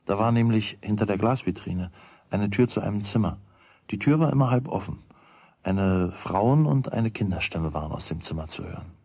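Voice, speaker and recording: A man's voice: fairly low pitch, a little mellow, no echo. A man narrating a children's radio play as its storyteller, in a scripted storytelling style. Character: storyteller of a boy's everyday adventures.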